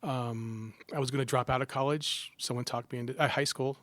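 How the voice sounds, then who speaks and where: A middle-aged man from Southern California, a psychology professor telling stories as a guest in a casual interview, joking at his own expense with quick asides. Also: mid-range voice, no echo. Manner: animated, self-deprecating jokes, quick asides.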